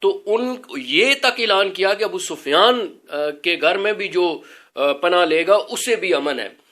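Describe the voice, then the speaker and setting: A man giving an informal religious talk as an educator, explaining things in an earnest, measured way. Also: high-pitched, animated, bright, forceful tone.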